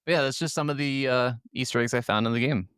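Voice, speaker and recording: A man's voice: mid-range voice, quick pace, a bit bright, smooth, dry recording, clean studio sound. A man co-hosting a gaming podcast, talking casually. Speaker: podcast co-host.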